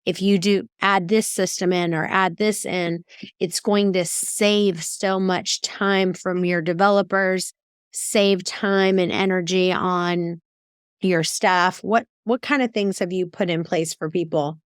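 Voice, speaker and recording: A woman's voice: fairly low pitch, fairly even intonation, takes it slowly, clear, resonant tone, dry recording, clean studio sound. A woman interviewing as a podcast host, informal and conversational, rephrasing her questions as she goes.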